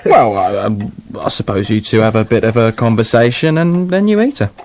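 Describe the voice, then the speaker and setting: An actor playing a short-tempered, foul-mouthed fairy-tale writer with a British accent in a comic radio play. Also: male voice, medium pitch, a little fast, a little mellow, a little hiss or hum, natural voice. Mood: coaxing. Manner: exasperated, blunt, bickering.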